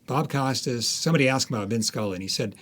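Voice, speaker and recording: A man's voice: medium pitch, quick pace, a bit bright. Man, seventies, American accent, mid-range voice, emphatic storyteller, anecdotal interview style. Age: 70s. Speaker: interview guest, author. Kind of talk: reminiscing, anecdotal interview talk.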